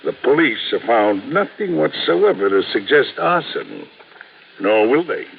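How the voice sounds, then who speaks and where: A man's voice: mid-range voice, huge pitch swings, a little slow, gravelly, exaggerated voice. An actor playing a smug, unruffled businessman in a vintage radio drama. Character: smug, confident businessman claiming fire insurance. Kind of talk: 1950s radio drama acting. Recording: muffled, hissy old radio recording.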